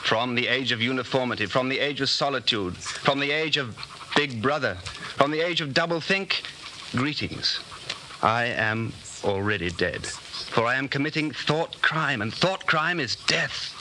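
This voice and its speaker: Weary fearful dystopian rebel, very sharp forceful tone, earnest brooding delivery, 1940s radio drama, hissy old broadcast. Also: male voice, mid-range voice, animated, a little slow. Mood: anguished, defiant.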